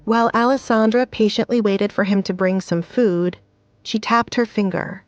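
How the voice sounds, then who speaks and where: A woman's voice: medium pitch, normal speed, no echo, highs cut off. A woman giving scripted, dramatic story narration as the narrator of an audio drama.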